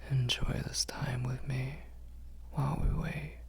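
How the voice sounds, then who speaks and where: A man's voice: mid-range voice, level tone, takes it slowly, vocal fry, husky, exaggerated voice. A man playing an ancient, possessive and doting vampire lord in an intimate ASMR roleplay, murmuring formally and drifting into whispers. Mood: hungry, coaxing.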